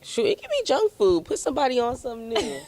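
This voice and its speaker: A woman hosting a casual interview, playful and quick to laugh. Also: high voice, huge pitch swings, a little mellow. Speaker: host.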